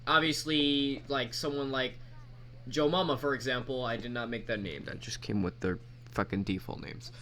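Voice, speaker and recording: A man giving a casual screen-recorded software tutorial, talking in a halting, rambling, informal way. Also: medium pitch, fairly even intonation. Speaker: mod creator presenting tutorial. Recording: background noise, keyboard typing.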